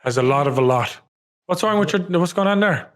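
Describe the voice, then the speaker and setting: A man with an Irish accent, a podcast guest in relaxed, jokey casual chat. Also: on the high side, brisk. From rural Ireland.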